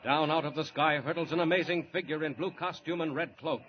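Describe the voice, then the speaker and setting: A man's voice: a little high, steady tone, a little fast, background noise. A man narrating and announcing a 1940s radio serial in a declamatory, suspenseful style, on a lo-fi 1940s radio transcription.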